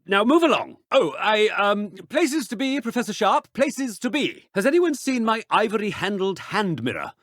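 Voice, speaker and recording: An actor playing a pompous, imperious pure-blood wizard in a video game, with a dismissive manner. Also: male voice, sits high, big pitch swings, normal speed, hardly any pauses, fairly bright tone, dry recording, clean studio sound, full character voice. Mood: flustered.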